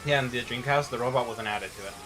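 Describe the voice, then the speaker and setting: A man's voice: medium pitch, fast talker. A young man co-hosting a let's play, chatting casually in a rambling, opinionated way while a game runs. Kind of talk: casual chat over gameplay. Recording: game music behind the talk. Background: video game cutscene music.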